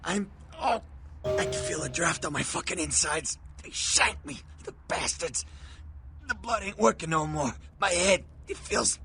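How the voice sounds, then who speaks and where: An actor playing a badly beaten, foul-mouthed underworld contact in a video game, groaning and gasping through coarse, halting talk. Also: male voice, sits high, lively intonation, gravelly, bright, forceful tone, soft background music, full character voice. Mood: pained, panicked. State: in agony, gasping.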